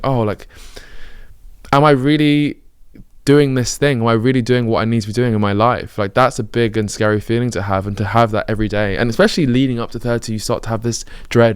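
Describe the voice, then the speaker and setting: A thirty-year-old man with a British accent speaks to camera in a candid, self-correcting ramble. His voice sits a little low and comes across smooth and clear, and he rattles along at a brisk clip. The sound is close and dry, with no room around it. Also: dry recording. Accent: British English. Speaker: vlogger. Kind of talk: reflective personal monologue.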